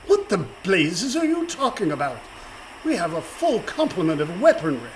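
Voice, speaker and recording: An actor playing a blustering, villainous pirate captain in a radio play, with a pompous, theatrical, mock-courteous delivery. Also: male voice, high-pitched, wildly swooping pitch, moderate pace, poor audio. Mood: indignant, commanding. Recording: faint background noise.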